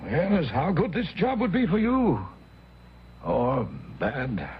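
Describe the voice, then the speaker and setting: An actor in a radio drama playing a formal, evasive, middle-aged well-to-do gentleman with a husky voice, speaking haltingly. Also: fairly high pitch, wildly swooping pitch, a little hiss or hum, heavily acted. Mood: anxious, reassuring. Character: hesitant, evasive, well-to-do married gentleman employer. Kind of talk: old-time radio drama acting. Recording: dull, muffled old radio recording.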